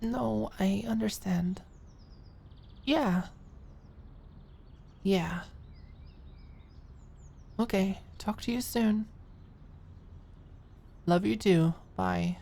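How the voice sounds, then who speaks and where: A woman voicing an anxious, eager young female fashion student in an audio story, flustered, stammering and quick to apologize. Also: mid-range voice, creaky, dark tone, voice pushed up, full character voice. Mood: quietly hurt.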